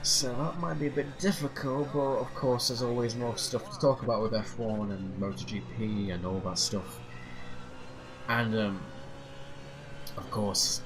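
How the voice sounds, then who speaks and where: A man rambling hesitantly with lots of fillers as he drives a racing sim, his nose stuffy from a cold. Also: on the low side. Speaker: sim racing channel host. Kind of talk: casual rambling commentary while driving a racing sim. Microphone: off-center desk mic. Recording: clear sim engine noise behind. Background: racing game engine noise.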